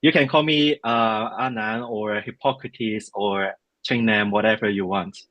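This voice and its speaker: A man from Hong Kong with a Hong Kong accent, speaking casually in a halting, polite way as he introduces himself and answers questions. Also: fairly low pitch, steady tone, fairly bright tone, dry recording, lo-fi sound. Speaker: composer and music theory teacher.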